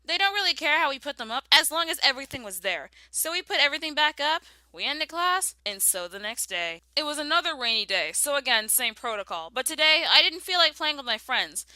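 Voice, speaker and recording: A woman's voice: sits high, sing-song, fairly quick, few pauses, sharp tone, no room sound, low-quality recording. A young American woman narrating a scripted personal story with emphatic, dramatic delivery. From southern United States.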